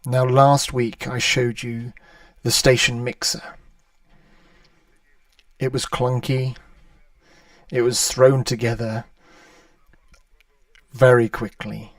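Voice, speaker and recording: A man presenting an online radio livestream in a measured way, walking listeners through a demonstration. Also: medium pitch, little pitch movement, dry recording, far from the mic. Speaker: online radio station host. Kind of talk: calm livestream presentation with website demo. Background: ambient music.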